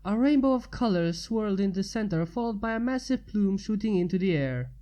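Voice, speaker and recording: A woman's voice: medium pitch, expressive, a little fast, dark tone. A woman narrating fan fiction as a story reader.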